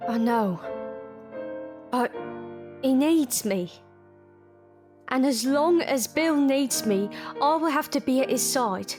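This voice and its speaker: A woman's voice: high-pitched, lively intonation, slow pace, long pauses, a little mellow, faint music behind. An actress playing a weary but determined, kind working-class London woman in an audio drama. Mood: resigned, resolute. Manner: earnest, plain-spoken.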